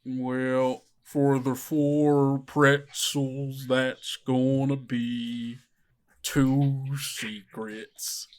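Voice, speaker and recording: Game master playing a big unhurried frog man, laconic, drawn out, low-key. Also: level tone, darkish tone. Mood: laid-back. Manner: slow and laconic. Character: large easygoing frog man pretzel vendor.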